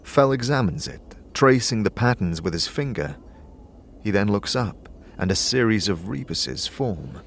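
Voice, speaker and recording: A man's voice: on the low side, animated, measured pace, vocal fry, slight background noise. A man narrating a scripted fantasy audio drama in the second person, as a game master would. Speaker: narrator and game master, also voicing the lead character. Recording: music under the voice.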